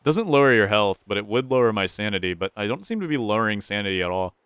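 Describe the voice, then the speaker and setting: A man's voice: fairly low pitch, lively intonation, fast, a bit bright, clear, resonant tone, dry recording. A young man with a stuffy, nasal voice, casually commentating his gameplay, thinking aloud and repeating himself. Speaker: gaming YouTuber.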